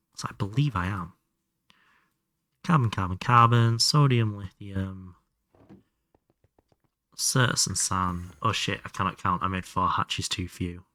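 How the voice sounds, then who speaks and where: A man's voice: on the low side, a little slow, pauses often, bright, forceful tone, no echo, clean studio sound. A man doing casual live game commentary and chatting to viewers, sweary and self-deprecating. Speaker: live streamer playing a video game.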